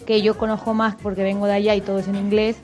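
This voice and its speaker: A woman's voice: mid-range voice, completely flat delivery, fast talker, darkish tone, soft background music. Hesitant woman fan. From Peru. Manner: hesitant, many fillers and restarts. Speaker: fan in the audience. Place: live event at a café venue.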